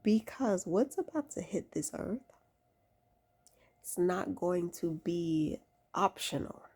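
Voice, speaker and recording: A woman's voice: fairly low pitch, expressive, slow, a little mellow, husky. A woman in her late twenties talking casually and emphatically to camera, giving advice and repeating key phrases for weight. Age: late 20s. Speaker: vlogger giving faith-based lifestyle advice. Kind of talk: casual talk to camera, exhorting.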